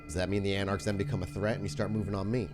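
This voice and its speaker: Man playing a wary, blunt vampire woman, low-voiced. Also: flat delivery, music in the background, lightly acted. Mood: suspicious. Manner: casual, blunt, halting. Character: wary, street-smart Anarch vampire woman, blunt and sardonic.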